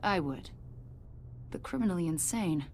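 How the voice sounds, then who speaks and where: An actress playing a probing, sardonic government agent in a video game, questioning someone in an insinuating, needling way. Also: female voice, fairly low pitch, lively intonation, moderate pace, slight background noise. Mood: probing, cool. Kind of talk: cinematic video game dialogue. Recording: music behind the voice.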